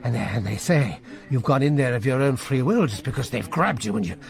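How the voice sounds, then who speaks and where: A man's voice: medium pitch, expressive, brisk, vocal fry, darkish tone. An actor playing a jittery, hard-drinking upper-class English military man in a radio drama, with a blustering, stammering manner. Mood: agitated, bitter. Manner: blustering, stammering, slurred old-fashioned slang.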